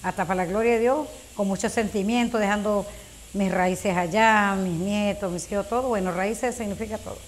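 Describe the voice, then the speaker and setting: A woman's voice: mid-range voice, steady tone, normal speed, a little hiss or hum, poor audio. A woman in her fifties from Venezuela, telling her story in a documentary interview, hesitant with many fillers. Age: 50s.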